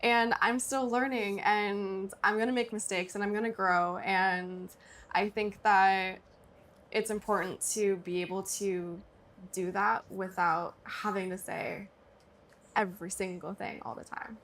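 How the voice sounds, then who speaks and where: Twenty-something woman interviewee, mid-pitched, calm and earnest answers. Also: measured pace. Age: 20s. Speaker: actress being interviewed. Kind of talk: magazine interview.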